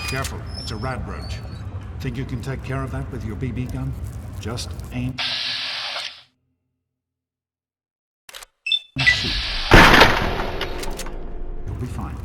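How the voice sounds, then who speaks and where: An actor playing a gentle, steady middle-aged father in a video game, speaking in a calm, measured, protective way. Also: mid-range voice, in a large hall, very noisy, lightly acted. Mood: calm caution.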